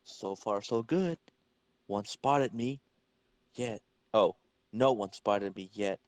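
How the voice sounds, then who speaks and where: A man's voice: lowish voice, slow, a little mellow, no echo. Man reading a wry comic-book hero, dry sound. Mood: calm, wry. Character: wisecracking undercover hero, level-headed young man.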